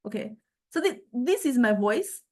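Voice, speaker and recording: A woman with a fairly high voice gives an informal software lecture. She thinks aloud as she goes, explaining things tentatively and in halting phrases, like an instructor walking a class through a demo. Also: big pitch swings, slight background noise. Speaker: music technology instructor. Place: recorded software lesson.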